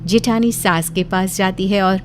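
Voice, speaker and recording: A woman's voice: medium pitch, steady tone, measured pace, soft background music. A woman narrating a scripted cartoon story.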